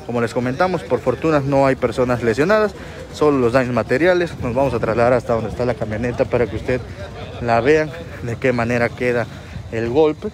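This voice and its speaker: A man's voice: medium pitch, brisk. A man reporting live from a street intersection, talking informally with many filler words. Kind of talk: live on-scene news report. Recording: faint outdoor street noise. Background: street and traffic sounds.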